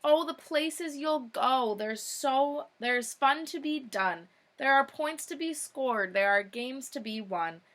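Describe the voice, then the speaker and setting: A young woman reads a children's picture book aloud in a teacher's expressive delivery. Her voice sits a little high and has a very bright, clear-cut edge. She drops in short, informal asides between the lines of verse. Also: slow, few pauses.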